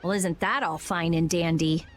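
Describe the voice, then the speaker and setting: A woman voicing a sharp-tongued, clever teenage girl in a video game, brusque and sarcastic. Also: lowish voice, steady tone, normal speed, a little hiss or hum, lightly acted. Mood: scornful. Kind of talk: English video game voice acting.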